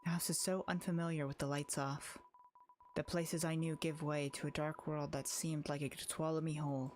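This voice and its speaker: A woman's voice: sits low, level tone, normal speed, a little mellow, slight background noise, close to an everyday voice. A woman voicing an anxious, lonely young girl in a visual novel, in an earnest, wistful inner monologue. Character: anxious, lonely young girl narrating her haunted thoughts. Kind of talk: visual novel read-aloud. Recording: music behind the voice.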